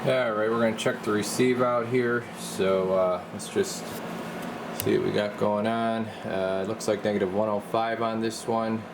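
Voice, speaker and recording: A man's voice: lowish voice, steady tone, moderate pace, background noise. A man giving a casual hands-on demonstration as a radio technician, muttering informally as he works in a room. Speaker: radio repair technician demonstrating his work. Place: indoor radio workbench.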